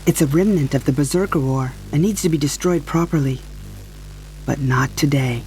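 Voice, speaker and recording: A woman playing a calm, bossy superhero scientist with a wry, unflustered manner in an audio drama. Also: low voice, normal speed, faint music behind, lightly acted. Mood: calm, stern.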